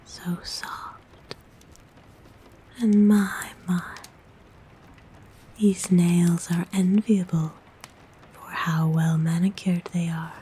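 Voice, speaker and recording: A woman playing a kind, motherly forest witch in a soft, breathy, gentle whisper for an ASMR roleplay. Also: on the low side, flat delivery, slight background noise. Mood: soothing, intrigued. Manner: gentle, soothing, coaxing, unhurried. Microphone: close microphone.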